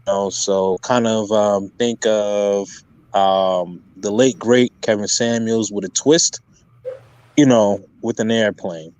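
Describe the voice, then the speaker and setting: A man's voice: on the low side, fairly even intonation, slow, a little mellow, no room sound. A man appearing as a guest on a livestream, explaining his views hesitantly with many fillers. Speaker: guest content creator. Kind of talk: casual interview talk.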